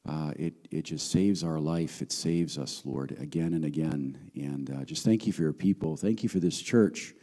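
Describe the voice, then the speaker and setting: A middle-aged visiting pastor with a handheld mic, his voice going hoarse, speaking earnestly with many fillers. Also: rumbling low, moderate pace, hardly any pauses, in a large hall. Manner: warm, earnest greetings and prayer with frequent fillers. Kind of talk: sermon. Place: large church hall, on stage. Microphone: handheld microphone.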